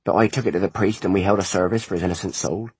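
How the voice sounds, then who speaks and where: A man's voice: sits low, steady tone, very fast, husky, dry recording. A man voicing a weary, grieving peasant mother, sorrowful and pleading, in an audiobook.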